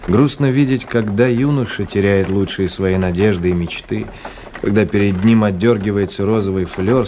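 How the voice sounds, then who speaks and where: An actor playing a reserved, polite gentleman traveller who narrates and speaks in a literary radio play, measured and reflective. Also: fairly low pitch, lightly acted. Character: reserved, courteous gentleman traveller telling his story. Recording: noisy old radio drama recording.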